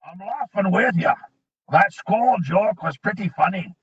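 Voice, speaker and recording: A jittery dwarf thief, as a man puts him on for an audiobook, trying to smooth things over in a raised, husky voice. The recording is dull and lo-fi. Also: high voice, animated, a little slow, voice pushed up, exaggerated voice. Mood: nervous. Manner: nervous, ingratiating. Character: nervous male dwarf thief, placating.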